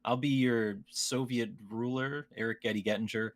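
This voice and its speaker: Man, mid-pitched voice, podcast host, casual banter, playful teasing, joking delivery. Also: fairly even intonation, normal speed. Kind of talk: casual comedic podcast chat.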